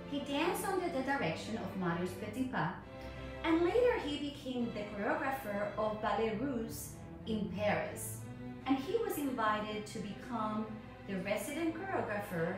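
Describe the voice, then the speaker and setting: A middle-aged woman, a ballet teacher, giving a prepared educational lecture in deliberate phrases with frequent pauses. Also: on the high side. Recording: echoey room, distant mic, music behind.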